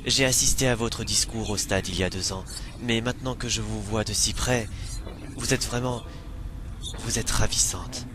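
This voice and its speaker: A man's voice: fairly even intonation, normal speed, natural voice. A man voicing a polite, worried old grandfather in an amateur French dub of a fantasy game, speaking formally and hesitantly. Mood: courteous, admiring. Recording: noisy amateur recording, rumbling background.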